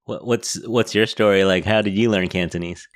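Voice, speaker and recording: A man's voice: on the low side, fairly even intonation, normal speed. A man with an American accent hosting a podcast and chatting casually with guests. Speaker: podcast host. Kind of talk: casual interview chat.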